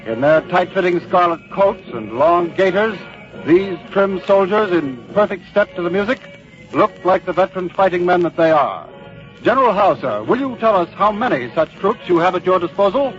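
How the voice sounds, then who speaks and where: An actor playing a courteous, probing American war correspondent in an old-time radio drama, speaking formally and with measure. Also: male voice, high voice, lively intonation. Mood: descriptive, composed. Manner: formal, measured, politely challenging. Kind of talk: old-time radio news interview acting. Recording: muffled vintage radio, band music behind.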